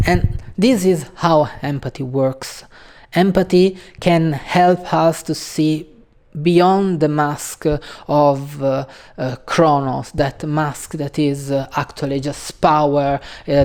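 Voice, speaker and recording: Italian-accented woman host, slow, halting casual delivery, warm dark tone. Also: deep voice. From Italy. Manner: hesitant, halting, many pauses and fillers. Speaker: channel host. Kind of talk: casual video talk on a book.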